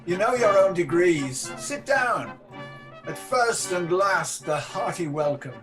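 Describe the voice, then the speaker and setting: Haunted tyrant king, male amateur actor, higher-pitched, Shakespearean radio drama. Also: animated, takes it slowly, music in the background. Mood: grandly welcoming. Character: guilt-haunted tyrant king, hospitable on the surface, unravelling beneath.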